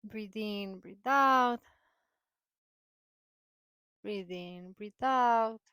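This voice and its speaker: A woman leading a guided meditation in a soothing, gentle manner, with drawn-out phrases and long pauses. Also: mid-range voice, smooth, dry recording. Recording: voice over prominent background music. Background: meditation music.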